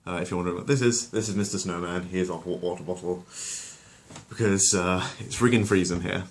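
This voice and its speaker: A man with a low voice tells a bedtime story. He narrates with drama and drops into casual, conversational asides. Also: fairly even intonation, fairly quick, a little hiss or hum. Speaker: storyteller and channel host.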